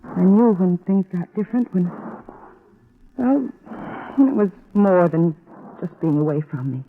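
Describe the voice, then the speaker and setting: A woman's voice: fairly low pitch, expressive, slightly rough, full character voice. A woman playing a worried, hesitant wife of a missing detective in an old radio drama. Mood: troubled, hesitant. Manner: hesitant, halting. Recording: muffled old radio recording.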